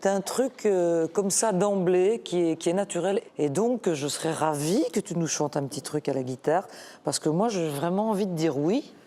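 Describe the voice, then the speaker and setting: Low, mellow woman on a jury. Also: normal speed. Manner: warm, encouraging. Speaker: jury member.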